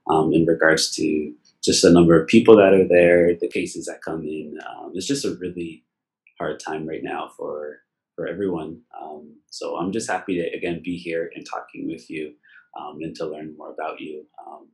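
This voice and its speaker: A man's voice: a bass voice, level tone, moderate pace, creaky, darkish tone, low-quality recording. A man with a Canadian accent hosting a casual interview, talking in an enthusiastic, effusive, rambling way. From Nova Scotia, Canada. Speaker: interview host.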